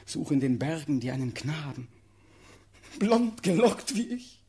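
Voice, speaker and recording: A young man acting a desperate condemned prince pleading for his life in a classical verse radio drama, impassioned and declamatory, swinging from hush to outcry. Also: fairly high pitch, sing-song, a little slow, slightly rough, full character voice. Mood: sorrowful, tender.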